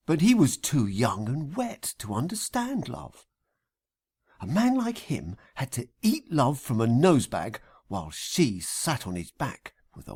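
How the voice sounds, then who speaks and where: A man reading a satirical audiobook story in a theatrical, deliberate manner. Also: medium pitch, a little mellow, slightly rough. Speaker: author reading an audiobook extract. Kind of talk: scripted satirical audiobook narration.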